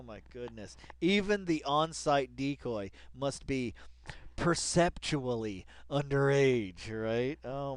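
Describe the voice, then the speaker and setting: A man's voice: a little high, clear, resonant tone, no echo. A man hosting a casual read-along commentary in slangy, colloquial speech, emphatically repeating phrases and addressing people directly. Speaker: online video host.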